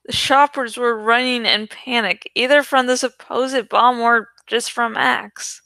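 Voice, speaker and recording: Bright, crisp woman reading fiction. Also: fairly high pitch, steady tone, unhurried, no echo. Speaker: audiobook reader. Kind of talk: dramatic scripted narration of a young adult novel.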